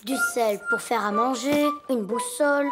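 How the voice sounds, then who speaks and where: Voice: medium pitch, a little slow, close to an everyday voice. A performer voicing a boyish, earnest young hippopotamus who is inventive and reassuring, in a French children's cartoon. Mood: calm. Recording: children's cartoon music behind the voice. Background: music.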